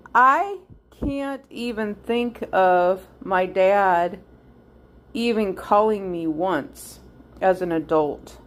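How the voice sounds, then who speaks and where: A woman in her sixties talking in a halting, meandering way, thinking aloud as she reminisces in a personal vlog. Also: on the low side. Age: 60s. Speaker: vlogger. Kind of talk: rambling personal reminiscence monologue.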